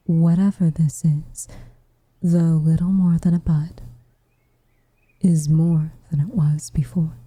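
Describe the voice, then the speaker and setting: A woman playing a reserved, tenderly affectionate witch in a whispered ASMR roleplay, with soft breathy delivery and measured, formal phrasing. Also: low voice, steady tone, dry recording, heavily acted. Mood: guarded confession.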